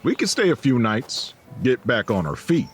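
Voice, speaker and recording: A man acting in an audio drama as a gentle, patient, folksy leader of weary companions, with a drawl. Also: medium pitch, big pitch swings, moderate pace, a little hiss or hum, lightly acted. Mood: easygoing.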